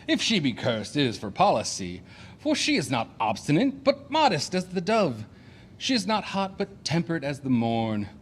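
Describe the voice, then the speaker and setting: An actor playing a brash, boastful, domineering suitor in a classic comedy audio play, with declamatory theatrical delivery. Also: male voice, fairly high pitch, wildly swooping pitch, moderate pace, slight background noise. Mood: smug, declaiming.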